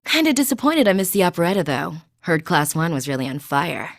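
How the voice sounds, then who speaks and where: Suave, flirty noblewoman, lively and dry-miked. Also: lowish voice, brisk, gravelly, no echo, full character voice. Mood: amused, casual. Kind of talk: anime game dub acting.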